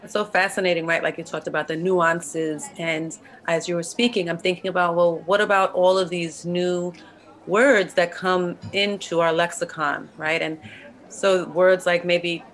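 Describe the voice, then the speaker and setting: A woman's voice: on the low side, fairly even intonation, normal speed. A woman interviewing a guest in a curious, engaged way. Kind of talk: conversational interview.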